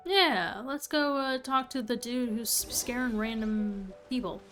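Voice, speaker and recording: Slightly high-voiced woman streaming a game. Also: measured pace, a bit bright. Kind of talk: casual live gameplay commentary. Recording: game music behind the voice.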